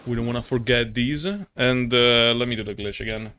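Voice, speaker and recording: A man's voice: medium pitch, moderate pace, sharp tone, a little hiss or hum. A young man livestreaming a game, chatting casually with viewers in a slangy, profane, jokey way. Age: young adult. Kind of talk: casual stream chat and game reactions.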